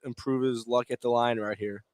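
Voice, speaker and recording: A man doing live play-by-play radio commentary of a high school basketball game in clipped running phrases. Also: steady tone, normal speed.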